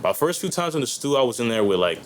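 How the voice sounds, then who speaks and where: A man's voice: medium pitch, sing-song, quick pace, slight background noise. A young man, a guest rapper on a podcast, talking in a loose, rambling way full of slang and fillers. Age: young adult. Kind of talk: casual chat.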